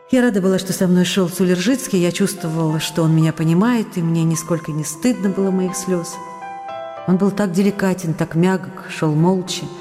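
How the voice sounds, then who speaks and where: A woman's voice: medium pitch, warm tone, close to an everyday voice. A woman playing a loving young actress in a radio play, reading out her intimate, emotional letters to her beloved. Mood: wistful, tearful. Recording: reverberant radio studio with music behind. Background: music.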